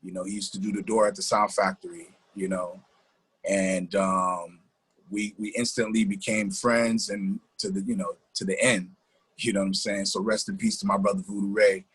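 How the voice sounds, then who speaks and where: Low, husky New man reminiscing. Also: level tone, moderate pace. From New Jersey. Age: middle-aged. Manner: colloquial, repeats phrases, gives shout-outs. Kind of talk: casual reminiscing.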